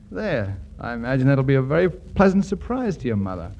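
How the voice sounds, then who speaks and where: An actor playing a kindly, protective army major, reassuring and avuncular, in a 1940s radio comedy. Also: male voice, medium pitch, big pitch swings, moderate pace, dark tone, muffled recording. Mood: amused, kindly. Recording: noisy 1940s radio recording.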